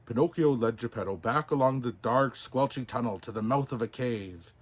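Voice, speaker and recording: A man narrating a children's storybook as Santa, in an expressive, deliberate way. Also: mid-range voice.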